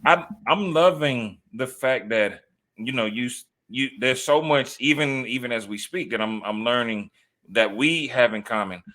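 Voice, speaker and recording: A man's voice: medium pitch, measured pace. A man hosting an interview, affirming and relating to his guest in casual talk. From Texas. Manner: warm, affirming, relates personally. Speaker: interview host.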